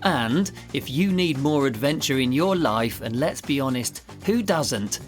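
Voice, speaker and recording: A man's voice: mid-range voice, expressive, a little slow, faint music behind. A man hosting a podcast, speaking in an enthusiastic, encouraging manner. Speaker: podcast host. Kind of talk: interviewing and scripted sign-off.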